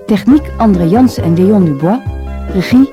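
A woman's voice: mid-range voice, lively intonation, moderate pace, muffled recording. A woman announcer reading scripted closing credits for a radio drama. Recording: music behind the voice.

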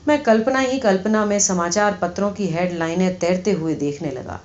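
A woman's voice: on the low side, a little mellow. A woman reading a satirical short story as scripted audiobook narration. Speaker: audiobook reader. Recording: slightly dull, lo-fi audio.

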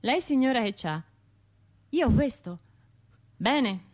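An actress playing a wounded, bitter bombing survivor in a radio theatre monologue, confiding in colloquial speech. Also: female voice, fairly high pitch, lively intonation, slow pace. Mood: weary, defiant. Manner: colloquial, confiding, wry, shifting between narration and imagined voices.

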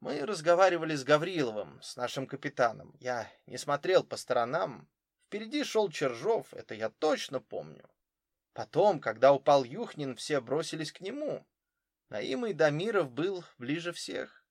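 A man's voice: a little high, big pitch swings, normal speed, dry recording, clean studio sound. Man reading as careful German footballer witness. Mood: careful, cooperative. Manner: careful, literal answers. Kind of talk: audiobook character dialogue.

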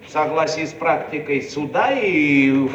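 An actor playing a pompous, self-important Soviet bureaucrat in a theatrical satirical radio comedy, officious and oratorical. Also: male voice, a little high, unhurried, a bit bright, highs cut off. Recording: old radio archive, echoey, distant, noisy.

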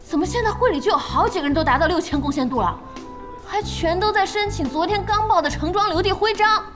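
A woman playing a feisty, excitable young guild boss in an audio drama, blunt and expressive. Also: very high-pitched, fast, over music. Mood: indignant.

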